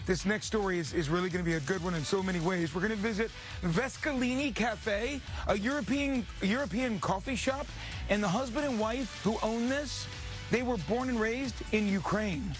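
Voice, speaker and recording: A man's voice: high voice, normal speed, faint music behind. A man working as a TV reporter, chatting in an upbeat, enthusiastic morning-show style and often repeating himself. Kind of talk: upbeat live morning TV feature chat.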